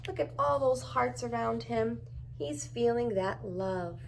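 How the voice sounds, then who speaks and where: Woman, storybook read-aloud for small children, highish pitch, unhurried, encouraging asides, faint background noise. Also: vocal fry, low-quality recording. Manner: encouraging, asks simple questions. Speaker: preschool teacher reading aloud.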